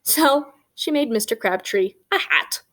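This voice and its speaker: Animated woman reading a picture book aloud. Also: a little high. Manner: expressive, with emphatic pauses on key words. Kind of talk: read-aloud storytelling with conversational asides.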